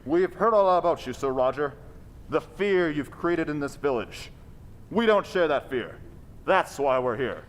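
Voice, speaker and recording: An actor playing a calm, bold gentleman investigator in an old-time radio mystery, speaking in a measured, formal way. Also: on the high side. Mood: bold challenge. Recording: reverberant hall, background hiss.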